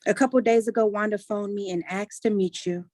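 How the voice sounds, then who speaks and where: A woman's voice: medium pitch, fairly even intonation, fairly quick, dark tone, no echo, natural voice. Woman acting earnest adult daughter, close dry sound. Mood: earnest, explaining. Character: earnest adult daughter persuading her grieving mother. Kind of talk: staged play reading.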